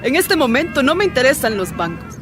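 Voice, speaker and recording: A woman playing a brash, excitable young student in a radio drama. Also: high-pitched, fairly quick, over music. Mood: brash, urgent.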